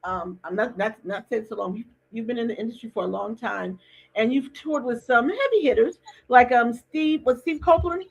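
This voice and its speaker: A woman's voice: on the high side, moderate pace, vocal fry, breathy edge, muffled recording. A woman hosting a casual interview, talking in a chatty way with lots of restarts and repeated words. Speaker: podcast host. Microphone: remote streaming call.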